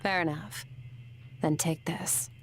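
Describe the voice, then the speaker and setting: A woman voicing an aloof, self-reliant swordswoman in a video game, terse, deadpan and formal. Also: low-pitched, slow pace, a little hiss or hum, poor audio. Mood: brisk, detached.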